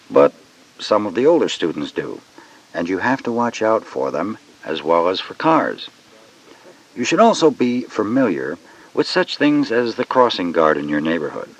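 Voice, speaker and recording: A man reading scripted educational narration for children in a measured, instructive way, on a dull old cassette recording. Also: lowish voice.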